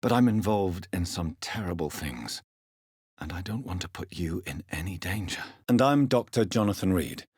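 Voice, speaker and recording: A man playing an earnest, stern gentleman doctor of early twentieth-century England, secretly a vampire, in a video game, with formal, measured, intense diction. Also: deep voice, animated, slightly rough, no room sound, studio quality. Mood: courteous, concerned. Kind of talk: dramatic video game voice acting.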